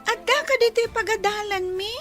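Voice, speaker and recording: A woman's voice: very high voice, steady tone, brisk, over loud music. A woman playing a timid young schoolgirl with a thin, childlike voice in a radio drama. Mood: questioning, polite. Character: young schoolgirl, timid, pleading with her aunt.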